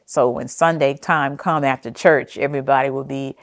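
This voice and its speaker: An American woman with an exceptionally low-pitched voice shares personal stories as a documentary interviewee. Her delivery is reflective and halting, with frequent pauses. The sound is dry, with very little room on it. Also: steady tone, no echo. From New Jersey, United States. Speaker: interviewee sharing family history. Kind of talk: personal oral-history storytelling for documentary.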